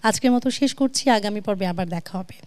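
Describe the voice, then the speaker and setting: A woman's voice: mid-range voice, fairly quick, a little mellow. A woman with a Bangladeshi accent hosting a music talk show as its television presenter, recorded in a studio. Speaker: television host.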